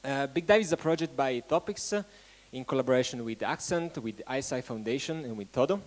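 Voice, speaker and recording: A man hosting an event, giving a halting welcome speech to an audience and often restarting his phrases. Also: medium pitch, lively intonation. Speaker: event host.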